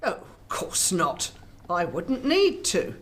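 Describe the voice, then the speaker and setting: A woman's voice: fairly low pitch, huge pitch swings, slow, exaggerated voice. A woman playing an indignant, imperious politician, haughty and confrontational, in a radio drama. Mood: smug.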